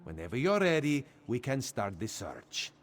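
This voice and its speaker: A man's voice: mid-range voice, very animated, moderate pace, background noise, natural voice. A man voicing a cheerful male cat-folk rogue who talks about himself in the third person, as AI-voiced game dialogue. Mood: playful.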